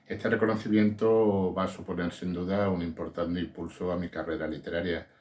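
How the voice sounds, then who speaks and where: A man thanks the organizers in a quick, formal way. His voice sits very low, and he talks fast. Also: level tone, a little mellow. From Córdoba, Spain. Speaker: book author.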